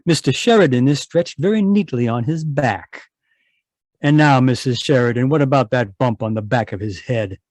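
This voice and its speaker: An actor playing a shrewd, composed investigator, speaking in a measured, dryly ironic way in radio mystery style. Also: male voice, medium pitch, a little mellow, no room sound, studio quality, natural voice. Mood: cool, deductive. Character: shrewd, composed male investigator questioning a suspect. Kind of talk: old-time radio mystery acting.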